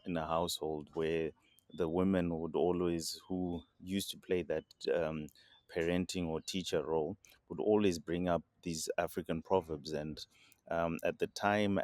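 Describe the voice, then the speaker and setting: Very deep-voiced man, measured and hesitant. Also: darkish tone, dry recording, studio quality. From Zimbabwe. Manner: measured, with many hesitations and repeated words. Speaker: guest. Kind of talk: reflective interview answers.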